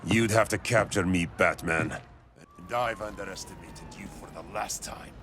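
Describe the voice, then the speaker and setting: An actor playing a proud, menacing ancient antihero in a video game, speaking in a formal, imperious way. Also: male voice, deep voice, normal speed, a crowd in the background, lightly acted. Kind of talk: cinematic video game voice acting. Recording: loud music behind.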